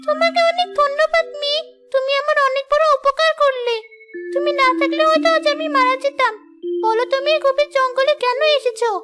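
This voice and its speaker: Tiny, squeaky, very high cartoon bird. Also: female voice, fairly even intonation, a little fast, over music. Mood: grateful, gentle. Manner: sweet, helpful. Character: kind grateful talking wild bird, small and sweet. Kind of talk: moral-story cartoon dub.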